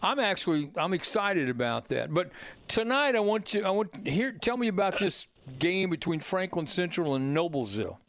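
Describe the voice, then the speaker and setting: A man hosting a call-in radio sports talk show, upbeat and energetic. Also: high voice, normal speed, dry recording. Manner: energetic, upbeat, rising on welcomes.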